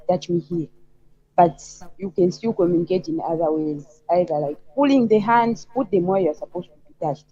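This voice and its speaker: Female call-in guest, Zambian accent, soft-edged mellow tone, hesitant phrasing, lo-fi line. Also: on the low side, slightly rough. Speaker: caller on a live talk show. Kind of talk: conversational opinion and personal account. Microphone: remote call connection. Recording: slightly dull lo-fi call audio.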